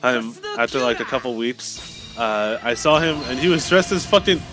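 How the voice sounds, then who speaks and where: A young man in casual banter on a game stream voice chat, talking haltingly and swearing freely. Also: mid-range voice, lively intonation. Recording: music behind the voice. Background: music.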